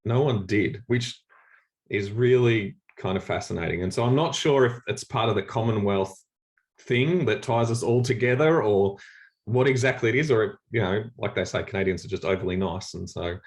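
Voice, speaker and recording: A deep-voiced Australian man talks over a video call, easygoing and meandering, full of ums and false starts. He sounds a touch drowsy, as if it is very early in the morning. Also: moderate pace. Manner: relaxed, rambling with many fillers and restarts. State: a little sleepy, early morning. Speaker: church leader and speaker. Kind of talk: informal talk on a video call.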